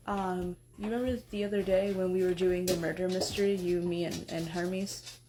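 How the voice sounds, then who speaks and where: A woman voicing a sleepy, slow-witted male god who mumbles, hesitates and apologizes. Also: on the low side, fairly even intonation, warm tone, a little hiss or hum, pitched below the performer's own voice, lightly acted.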